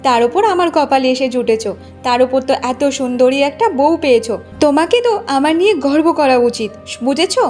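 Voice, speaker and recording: A woman plays a young cartoon village wife with a very high voice, vain and bossy. She nags and boasts in a demanding way, with music clearly playing underneath. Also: expressive, fairly quick, a little mellow. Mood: boastful, scolding. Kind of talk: Bengali cartoon voice acting.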